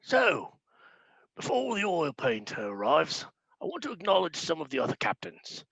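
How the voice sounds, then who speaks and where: A man playing a breezy, officious orc captain with a creaky, husky voice in a fantasy comedy table read. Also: on the high side, huge pitch swings, moderate pace, fairly bright tone, dry recording, highs cut off, heavily acted. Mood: pompous, cheery.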